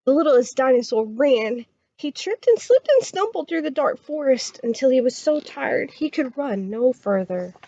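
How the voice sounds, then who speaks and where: A woman reading a children's story aloud as a storytime reader, expressive and dramatic. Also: sits high, moderate pace, no room sound, muffled recording.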